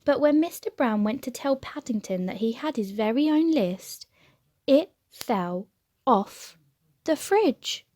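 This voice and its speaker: A woman reading a children's storybook aloud as an expressive narrator. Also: high voice, slow pace, no echo, clean studio sound. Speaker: storybook reader.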